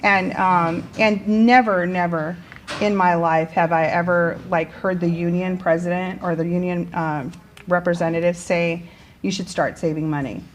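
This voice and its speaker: A woman's voice: on the low side, steady tone, normal speed. Middle-aged woman chatting over background noise. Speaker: teacher being interviewed. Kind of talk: casual personal storytelling in an interview. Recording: clearly noisy background.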